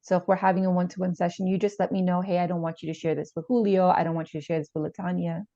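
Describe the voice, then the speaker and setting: Woman acting a gentle therapist, creaky, mellow voice. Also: fairly low pitch, flat delivery, rapid-fire, lightly acted. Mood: reassuring. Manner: reassuring, hesitant, repeats gently. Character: calm, supportive, gentle female family therapist. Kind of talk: improvised role-play of a therapy session. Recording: echoey room, slightly dull sound.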